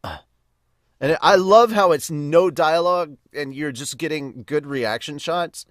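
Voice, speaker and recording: A man's voice: a little high, a little slow, no echo. Rambling man with a dry, close sound. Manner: rambling, enthusiastic fan talk. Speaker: podcast host and reviewer. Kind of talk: casual live reaction and review.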